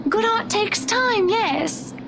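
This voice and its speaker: Very high-voiced doting rich lady. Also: animated, slow, vocal fry, background noise, exaggerated voice. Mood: doting, teasing. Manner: doting, teasing, commanding. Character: affectionate, assertive wealthy lady art patron. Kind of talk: visual novel voice acting. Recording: music behind the voice.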